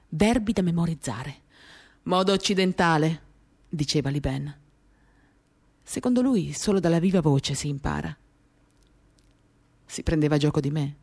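A woman giving a literary dramatic reading of a first-person monologue, measured, with pauses between short phrases. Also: low voice, darkish tone. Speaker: reader of a novel, performing a radio reading. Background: acoustic guitar music.

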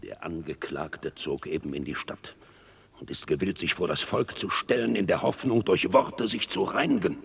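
Raspy, gravelly bitter commander. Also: male voice, deep voice, heavily acted. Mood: guarded, brooding. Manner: declamatory, deliberate. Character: proud, bitter, resentful male military commander turned accuser. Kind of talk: classical stage-verse radio drama acting.

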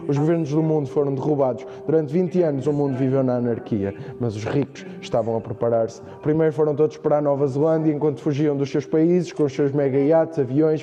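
A man's voice: medium pitch, lo-fi sound, natural voice. A man with a European Portuguese accent playing a chronicler of future wars and revolution in a radio drama. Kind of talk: scripted narration in a radio drama.

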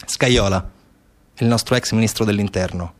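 A man's voice: lowish voice, normal speed. Male theatre storyteller, dramatic and conversational. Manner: dramatic, conversational, with repeated rhetorical questions. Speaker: stage actor and storyteller. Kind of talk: theatrical narrative monologue.

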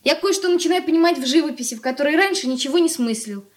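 Radio drama: eager young daughter, high-voiced, earnest and quick, echoey room. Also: female voice, animated. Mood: earnest reading. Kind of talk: radio play acting. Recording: roomy, echoing radio studio recording.

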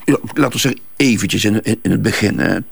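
A man playing an evasive, self-assured businessman under questioning in a crime drama, with a Flemish accent, a gravelly husky voice and repetitive, dismissive replies. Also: on the low side, quick pace, full character voice. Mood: disdainful. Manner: hesitant, repeats himself, dismissive.

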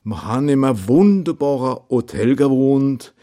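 An actor playing an older count, modest and amused, who reminisces in a colloquial, halting way. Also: male voice, mid-range voice, lively intonation, dark tone, lightly acted. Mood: fond reminiscing.

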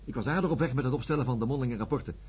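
A man's voice: mid-range voice, racing, darkish tone, breathy edge. A man playing an earnest, self-doubting psychiatrist in a radio drama, reflective and at times hesitant. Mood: dejected, troubled. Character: earnest, self-doubting younger psychiatrist, former student of a professor. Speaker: narrator and lead character. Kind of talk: radio drama acting with first-person narration.

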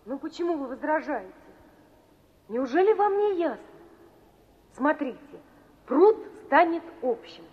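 A woman's voice: high voice, lively intonation, measured pace, long pauses. An actress playing an earnest, insistent young woman who argues urgently and persuasively in a 1960s radio play. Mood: insistent pleading. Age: young adult. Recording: dull vintage radio recording.

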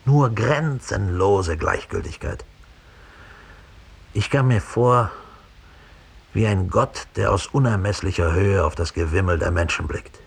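Husky, gravelly, cold Nazi murderer. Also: male voice, fairly low pitch, exaggerated voice. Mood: cold, detached. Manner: deliberate, confessional, disdainful. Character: cold, proud former Nazi murderer, contemptuous and hateful. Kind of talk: 1960s German radio drama monologue.

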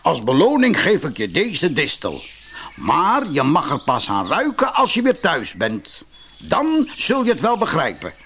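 Man playing a mocking storybook giant, lively pitch swings. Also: unhurried, a little hiss or hum, heavily acted. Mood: approving. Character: suspicious, mocking giant who bargains gruffly.

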